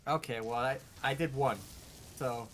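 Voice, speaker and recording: Bright, forceful man bantering about games. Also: mid-range voice, measured pace, a little hiss or hum. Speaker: gaming show co-host.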